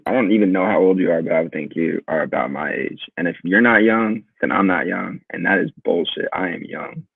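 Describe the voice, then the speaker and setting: A young man in his twenties with a deep, gravelly voice and a husky edge, chatting loosely as a guest and rambling through his stories with casual swearing. The sound is dry and thin, like a phone line. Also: fairly quick, dry recording. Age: 20s. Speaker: guest musician. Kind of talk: casual interview chat.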